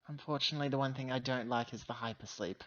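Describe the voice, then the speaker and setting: A man's voice: mid-range voice, fast, muffled recording, lightly acted. A man playing a blunt, grumbling female company agent in a tabletop sci-fi game, with dry delivery. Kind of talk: in-character tabletop sci-fi roleplay.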